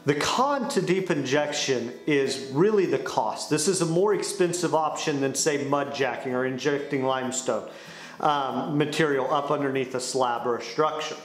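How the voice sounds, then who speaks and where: A man's voice: mid-range voice, moderate pace. A young man presenting a scripted company explainer to camera in a small indoor studio. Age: young adult. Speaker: sales director presenting a company explainer video. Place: small indoor studio with dark wall panels. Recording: roomy echo on the voice.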